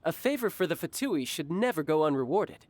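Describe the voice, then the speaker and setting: An actor playing a suave, scheming banker in a game, amused and smooth. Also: male voice, fairly high pitch, very animated, a little fast, no echo. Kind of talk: game voice acting. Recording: game audio with music behind.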